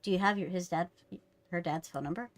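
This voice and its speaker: A woman's voice: lowish voice, brisk, no room sound. A young woman streamer reacting casually to a game with blunt, playful interjections. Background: soft background game music.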